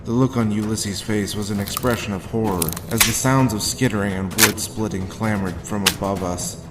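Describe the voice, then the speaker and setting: Audio drama lead: man playing a haunted, sardonic male drifter-narrator, low-pitched, brooding, over background music. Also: lightly acted. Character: haunted, gruff male drifter narrating dark horror adventure. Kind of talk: first-person dramatic narration with dialogue.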